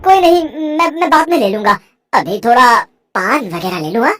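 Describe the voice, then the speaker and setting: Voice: medium pitch, very animated, moderate pace, a bit bright, faint music behind. An actor voicing a scheming sorcerer who poses as a kindly uncle, persuasive and ingratiating, in a cartoon moral story. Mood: smooth persuasion. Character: scheming male sorcerer posing as a sweet-talking uncle. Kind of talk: animated Hindi moral story acting.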